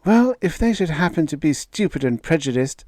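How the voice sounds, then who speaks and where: A woman's voice: sits low, animated, moderate pace, no room sound, clean studio sound, voice pushed up. A man reading aloud, voicing a young woman who firmly stands up to her bigoted father. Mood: resolute. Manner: firm, quietly resolute.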